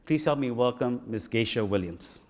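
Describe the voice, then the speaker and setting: A man's voice: mid-range voice, expressive. A man hosts a conference and makes formal introductions, stumbling through restarts and uhs as he goes. His voice is mid-range, and the long reverb of a large hall hangs behind him. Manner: halting, frequent uhs and restarts. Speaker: host of the event.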